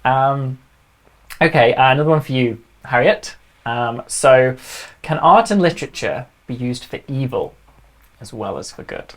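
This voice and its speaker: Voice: medium pitch, lively intonation, unhurried. A speaker hosting a live online question session for schools, reading out questions and chatting with the panel, laughing easily and using many fillers. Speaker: panel moderator.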